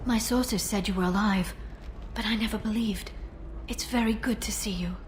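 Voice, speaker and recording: A woman plays a driven alien information broker in a video game. Her medium-pitched voice is measured, earnest and guarded. Faint ambient noise sits under the dialogue. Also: steady tone, close to an everyday voice. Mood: warm, guarded.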